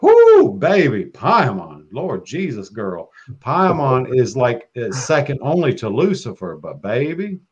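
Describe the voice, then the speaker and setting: A man's voice: medium pitch, wildly swooping pitch, a little slow, a little mellow, lo-fi sound. A man chatting as a podcast guest, flamboyant and profane, calling people baby and girl. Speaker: guest, occult practitioner. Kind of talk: casual podcast chat.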